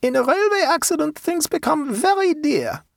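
High female peasant character, acted by a narrator, storybook, calm, shrewd, matter-of-fact, slightly creaky. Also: no echo, clean studio sound, exaggerated voice. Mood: calm haggling. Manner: tranquil, unhurried, matter-of-fact. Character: calm, stolid, haggling peasant woman, devout and shrewd.

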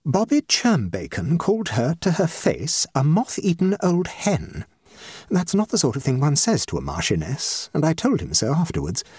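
A man's voice: medium pitch, a little mellow, breathy edge, dry recording, studio quality, voice pushed up, full character voice. A man voicing a middle-aged society hostess, an anxious matchmaking mother with an emphatic, effusive manner, in a comic story. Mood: indignant. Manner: emphatic, effusive, self-dramatizing. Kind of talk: comic short story reading.

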